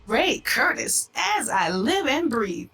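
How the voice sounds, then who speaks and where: Game police lady, teasing but in charge, highish voice, bit distant. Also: big pitch swings, slow, loud music behind the voice, full character voice. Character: teasing, authoritative female police colleague. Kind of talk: video game dialogue acting.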